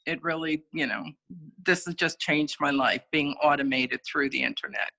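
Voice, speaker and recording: A woman's voice: low voice, steady tone, normal speed, creaky, sharp tone, low-quality recording. An older woman chatting on a video call, rambling with many fillers. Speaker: guest cookie artist and teacher. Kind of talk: casual video-call chat.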